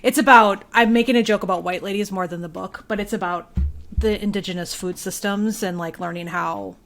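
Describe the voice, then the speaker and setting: A woman's voice: medium pitch, fairly even intonation, fairly quick, fairly bright tone. A woman guest on a talk show, playful and joking in the way she speaks. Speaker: guest, local journalist. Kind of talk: casual chat with dramatic reading of public comments.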